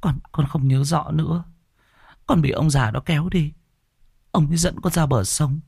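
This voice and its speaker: A terrified young village woman in a horror story, her voice high and shaking as she pleads in panic, put on by a male narrator. The sound is dry and close, with no room around it. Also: unhurried, breathy edge, no room sound, exaggerated voice.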